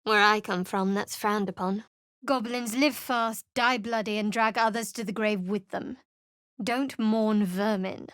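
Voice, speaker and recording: An actress playing a guarded, sardonic young woman adventurer in a fantasy video game, speaking in a measured, dry, clipped way. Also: medium pitch, steady tone, fairly bright tone, clean tone, no room sound, lightly acted. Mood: cool, sardonic.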